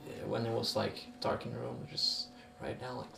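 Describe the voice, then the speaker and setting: Norwegian guy rambling thru an interview, croaky voice, swears a bit, some background noise. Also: mid-range voice, flat delivery, brisk, sharp tone, echoey room. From Norway. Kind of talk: casual rambling interview talk.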